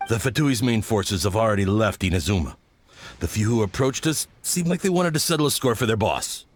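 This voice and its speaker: A man's voice: on the low side, lively intonation, a little fast, slight background noise, natural voice. A man playing a deferential military subordinate in a video game, justifying himself to a superior. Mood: reporting. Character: defensive male military subordinate answering to superior.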